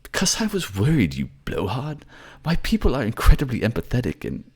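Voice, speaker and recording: A man's voice: medium pitch, wildly swooping pitch, fairly quick, breathy edge, voice pushed up, full character voice. A man voicing an empathetic, teary merman advisor who is tender, earnest and fussy, in a fantasy audio drama. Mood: tearful exasperation.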